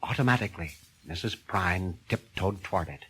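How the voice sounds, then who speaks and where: A man's voice: deep voice, expressive, moderate pace, fairly bright tone, husky, low-quality recording. A man narrating a horror story in a theatrical, suspenseful reading style.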